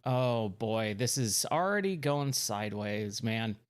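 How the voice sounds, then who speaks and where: A man hosting his own game stream in casual chat, his voice a little hoarse from the work week, upbeat and thinking aloud as the games load. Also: fairly low pitch, animated, takes it slowly. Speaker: streamer and channel host.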